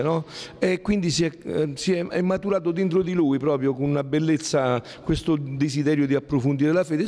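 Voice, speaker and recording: A middle-aged man, a friar and exorcist, answering interview questions earnestly, often pausing with fillers and restarting his phrases. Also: medium pitch, darkish tone, long reverb tail. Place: hall.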